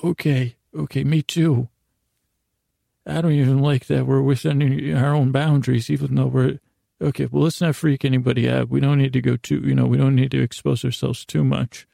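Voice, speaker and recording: Male sleep-podcast host: deep vocal fry, soft-edged mellow tone, meandering, self-deprecating, lulling delivery. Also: level tone, dry recording, studio quality. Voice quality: creaky, gravelly. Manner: halting, meandering, repeats and rephrases himself; self-deprecating, gently silly. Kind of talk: rambling, lulling bedtime monologue.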